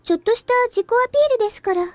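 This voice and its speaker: A woman's voice: extremely high pitch, animated, rapid-fire, a little mellow, no room sound. An actress playing a perky, teasing schoolgirl underclassman in a visual novel, sweetly polite and cheeky. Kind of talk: Japanese visual novel voice acting.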